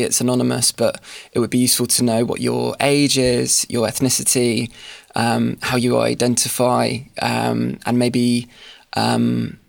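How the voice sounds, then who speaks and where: A man hosting a podcast interview, asking questions in a halting way with many ums and restarts. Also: medium pitch, gravelly, no echo. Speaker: podcast host.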